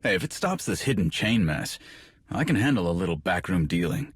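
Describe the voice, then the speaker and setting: Deep, husky, grumbling soldier. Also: male voice, very animated, fairly quick, dry recording, heavily acted. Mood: matter-of-fact. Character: grumbling, blunt, roguish male soldier. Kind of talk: cinematic video game acting.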